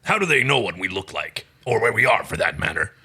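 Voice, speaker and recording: A man voicing a gruff, hot-tempered alien warrior with a growling delivery in a story reading. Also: low voice, sing-song, a little fast, sharp tone, pitched below the performer's own voice, exaggerated voice. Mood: suspicious.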